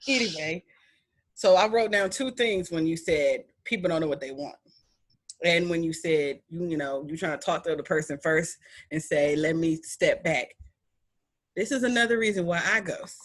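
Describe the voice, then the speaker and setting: A young woman with a deep voice hosting a podcast, chatting in an animated, emphatic way with playful repetition. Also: moderate pace, long pauses. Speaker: podcast host. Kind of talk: casual chat.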